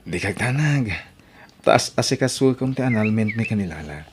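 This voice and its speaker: A man's voice: lowish voice, lively intonation, normal speed, slight background noise, lightly acted. A man with an Ilocano accent acting an emotional, earnest married man in an audio drama. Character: pleading, emotional married man in a radio melodrama.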